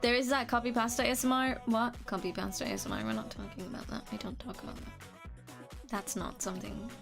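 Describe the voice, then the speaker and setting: A woman's voice: a little high, lively intonation, fairly quick, vocal fry, over music. A young woman, chatting casually on a live stream, rambling, restarting sentences and laughing now and then. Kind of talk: casual chat reading comments.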